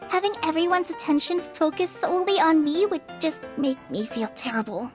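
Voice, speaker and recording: A woman voicing a shy, anxious, soft-hearted young girl in a video game, timid and hesitant. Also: very high-pitched, animated, fairly quick, faint music behind.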